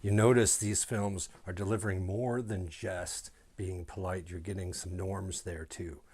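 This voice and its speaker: A man's voice: sits low, fairly even intonation, measured pace, darkish tone, husky. A man giving informal commentary as a sociology lecturer.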